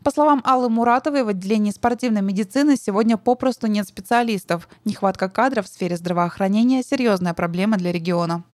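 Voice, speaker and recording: A woman reporter reads her voice-over at a fast clip. Her delivery is brisk and formal. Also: mid-range voice, darkish tone. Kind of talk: scripted voice-over narration.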